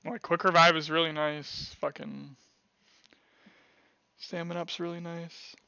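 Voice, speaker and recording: Gravelly man on dull voice chat. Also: a little high, level tone, a little slow, bright, forceful tone, no room sound. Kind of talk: casual gameplay chat. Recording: dull, dry voice-chat audio.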